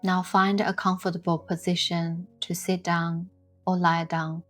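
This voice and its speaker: A woman leading a scripted guided meditation in a soothing, deliberate way, pausing between short phrases. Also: lowish voice, little pitch movement. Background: music.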